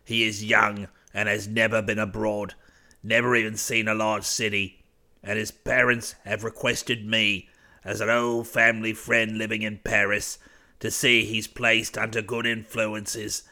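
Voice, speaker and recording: A man voicing a fussy, prim old doctor, pompous and moralising, with a creaky, strained voice in a storybook reading. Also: fairly low pitch, little pitch movement, a little slow, pushed and bright, heavily acted. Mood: solemn, prim.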